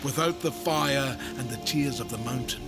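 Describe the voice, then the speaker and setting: An actor playing a wise, solemn elder of an ancient fantasy race in a video game, grave and measured, with archaic phrasing. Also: male voice, medium pitch, close to an everyday voice. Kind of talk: dramatic fantasy game acting. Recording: loud music behind the voice.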